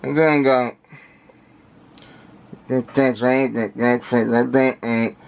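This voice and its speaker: A man giving casual unscripted product commentary in halting, repetitive fragments, with labored, indistinct articulation from a speech impediment. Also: medium pitch, steady tone, vocal fry, smooth. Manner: halting, repetitive, fragmented phrasing with effortful delivery. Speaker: vlogger unboxing audio gear.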